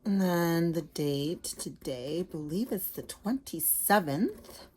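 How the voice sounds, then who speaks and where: A woman's voice: fairly low pitch, lively intonation, slow, darkish tone, husky. A woman teacher giving a casual lesson to children, explaining simply and checking in with short questions.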